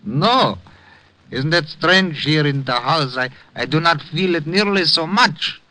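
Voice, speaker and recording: This is an old man from a 1950s radio drama. His voice is very sharp and a little high for a man, and he speaks with formal, mournful politeness, like someone tired of life. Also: measured pace, low-quality recording. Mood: wary, polite. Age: older. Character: weary, courtly old immigrant man claiming to be dead.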